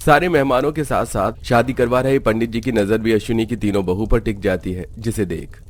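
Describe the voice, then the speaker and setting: A man's voice: on the low side, fairly even intonation, a little fast, slight background noise. A man reading scripted narration for a family cartoon story.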